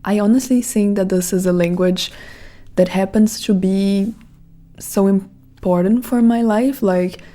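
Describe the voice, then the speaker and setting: Brazilian woman with a mellow voice musing aloud. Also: mid-range voice, steady tone, unhurried. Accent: Brazilian Portuguese. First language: Portuguese. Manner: reflective, rambling with many "like" fillers. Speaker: podcast host. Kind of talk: casual monologue.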